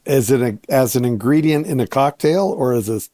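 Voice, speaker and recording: A man's voice: mid-range voice, brisk, warm tone, dry recording. A man speaking as a podcast guest in casual interview chat, easygoing and polite. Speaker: podcast guest, winery owner.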